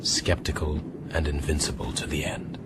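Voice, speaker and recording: An actor playing an earnest, steadfast gentleman sailor, tender and protective, speaking in gently formal phrases in a radio drama. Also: a bass voice, completely flat delivery, normal speed, heavy background noise. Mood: solemn. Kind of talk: broadcast radio drama acting.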